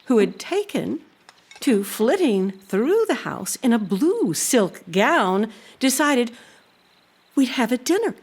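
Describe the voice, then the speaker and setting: An actress playing an earnest, proud housekeeper who tells a haunting tale in a deliberate, expressive way. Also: female voice, on the high side, slightly rough, exaggerated voice. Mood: wry, disapproving. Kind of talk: dramatic audio-drama storytelling.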